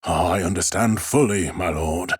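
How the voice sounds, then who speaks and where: A man's voice: low-pitched, measured pace, husky, no room sound, clean studio sound. A man voicing a timid, humble bookseller in an audiobook, speaking with hesitant, very polite deference. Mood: deferential. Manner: hesitant, bowing politeness, stammering. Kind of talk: audiobook character acting.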